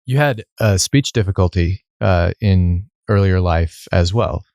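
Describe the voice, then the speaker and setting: A man's voice: low-pitched, measured pace, a little mellow, dry recording, clean studio sound. A man hosting a podcast, reading a guest introduction and asking short questions. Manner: reads the introduction, then short prompts. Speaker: podcast host. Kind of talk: scripted intro then interview.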